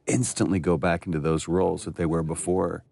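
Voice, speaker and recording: A man's voice: low-pitched, steady tone, brisk, creaky, a little mellow. A man giving casual, reflective commentary on scenes from a video game. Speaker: commentator on a game's cutscenes.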